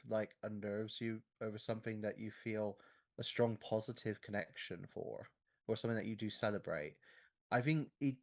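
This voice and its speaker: A podcast co-host in casual discussion, explaining a point in a tentative, halting way. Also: mid-range voice.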